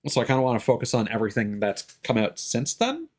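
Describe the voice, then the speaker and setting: A man with an average-pitched voice talks casually to a livestream. He explains things in an informal, rambling streamer style and swears freely. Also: wildly swooping pitch, quick pace. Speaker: game streamer.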